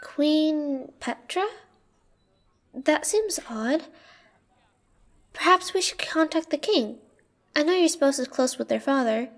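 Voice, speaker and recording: A very high-voiced young royal servant girl, played by a woman in a fantasy audio drama, speaking slowly and carefully. She is loyal and earnest, deferential and a little hesitant. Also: animated, heavily acted. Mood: helpful, uneasy. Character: young, loyal, cautious girl serving the queen. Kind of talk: fantasy audio roleplay acting.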